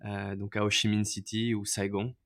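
Low-voiced hesitant man telling his story. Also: little pitch movement, normal speed, fairly bright tone. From France. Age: 30s. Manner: hesitant, many fillers and restarts. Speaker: podcast guest. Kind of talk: casual storytelling interview.

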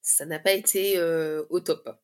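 Woman, thirties, low-pitched voice, hesitant phrasing with frequent pauses, candid storytelling, interview guest. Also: steady tone. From Guadeloupe. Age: 30s. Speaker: podcast guest giving a personal testimony. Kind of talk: candid personal storytelling in conversation.

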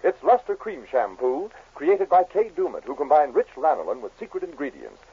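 An American male announcer sells in emphatic vintage radio style. His voice is fairly high, very rough and full of swoops, on a muffled 1940s recording. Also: big pitch swings, moderate pace. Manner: emphatic, salesmanlike. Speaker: commercial announcer. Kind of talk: 1940s scripted radio advertising.

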